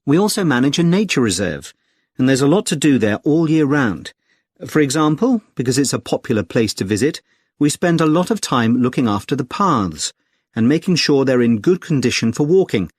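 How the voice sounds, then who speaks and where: A man with a British accent playing a friendly, helpful club secretary in a clearly enunciated scripted listening-test conversation, polite and explanatory. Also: mid-range voice, sing-song, moderate pace, darkish tone, no room sound, studio quality. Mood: helpful, informative. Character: friendly, helpful male secretary of a conservation group. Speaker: actor in a listening test dialogue.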